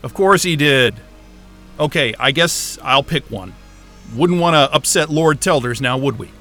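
An actor playing an exasperated, sarcastic but soft-hearted astrophysicist in a naturalistic science-fiction audio drama. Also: male voice, a little high, unhurried, soft background music. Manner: sarcastic, muttering, casual swearing.

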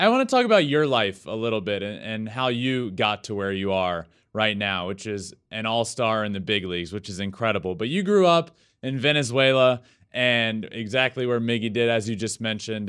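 A male interviewer with a clear, smooth voice sitting a little low in pitch. He asks his questions conversationally, with open enthusiasm for his guest. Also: fairly bright tone.

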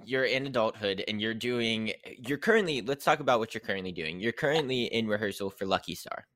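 Interview host, chatty, rambling, lots of fillers, middle pitch, dry close sound. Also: expressive, a little fast, a bit bright, no room sound. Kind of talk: casual interview chat.

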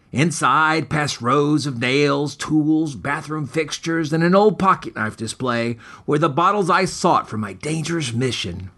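Man with lively, swooping storytelling delivery. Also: on the high side. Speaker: author and podcast host. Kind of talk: scripted dramatic story narration.

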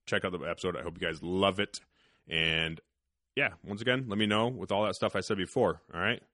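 A low-voiced man hosts a podcast with a bright, forceful edge to his tone. He rambles casually and swears freely, and the recording is dry and close. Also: steady tone, fairly quick, no echo. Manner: profane, rambling, laughs often. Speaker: podcast host. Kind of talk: casual podcast chat and ad read. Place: hunting cabin.

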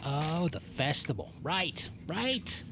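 A woman's voice: deep voice, very animated, slow pace, heavy background noise, exaggerated voice. A woman playing a cocky, teasing teenage girl who tries to act cool in a comedic audio drama, talking in slang. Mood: casual.

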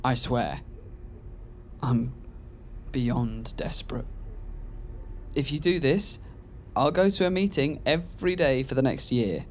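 A man's voice: mid-range voice, expressive, slow, long pauses, slight background noise. A down-on-his-luck gambler, a man pleading in an audio drama. He sounds anxious and desperate, over faint background ambience.

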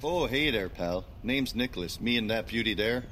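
An actor playing an affable, over-polite Canadian husband with a Canadian accent in a comedy audio drama. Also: male voice, lowish voice, animated, moderate pace, background noise, natural voice. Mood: chummy. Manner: folksy, chummy, over-polite. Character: affable folksy Canadian husband, chummy and hockey-mad.

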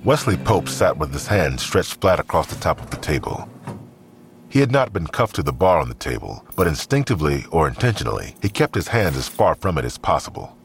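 An extremely deep-voiced male narrator tells a crime story. His delivery is grave and deliberate, yet the pitch moves expressively through the scripted scenes. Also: sing-song, slight background noise, exaggerated voice. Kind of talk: scripted audio drama narration.

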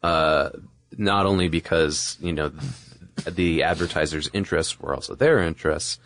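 A man's voice: extremely low pitch, normal speed, fairly bright tone. A man co-hosting a podcast, explaining things in a casual, conversational way with asides. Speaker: podcast co-host.

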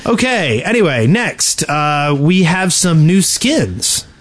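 A man's voice: on the high side, very animated, slow pace, fairly bright tone. A man co-hosting a podcast, talking casually about video games with playful banter. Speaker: podcast co-host.

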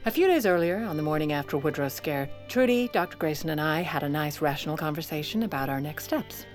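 Actress as a wry, low-voiced Lady. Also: quick pace, faint music behind, natural voice. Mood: wry, confiding. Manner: dry, wry, controlled. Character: wry, scheming, self-assured American First Lady. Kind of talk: audio drama narration and dialogue.

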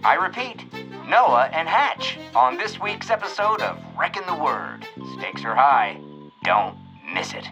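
An actor playing a dramatic old-time radio announcer in audio fiction. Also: male voice, mid-range voice, big pitch swings, measured pace, muffled recording, music in the background. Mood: urgent, grave. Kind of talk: mock radio news and show announcing.

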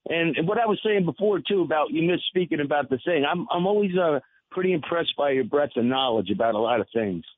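A man, reminiscing casually and haltingly as a caller to a radio show. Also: on the high side, animated, slight background noise. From New York State. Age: 60s. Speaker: phone-in caller. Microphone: telephone. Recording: narrow, tinny, dry phone line.

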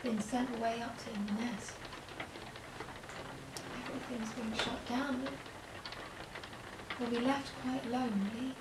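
An actress playing a wistful younger sister full of longing in an audio play. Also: female voice, on the high side, steady tone, unhurried, pauses often, natural voice. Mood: anxious, forlorn. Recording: roomy, distant mic, clear background noise.

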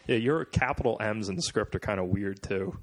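A man's voice: fairly low pitch, moderate pace, a little hiss or hum. A young man co-hosting a podcast, joking with friends in a teasing, playfully boastful way. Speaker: podcast co-host. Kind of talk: casual banter among friends.

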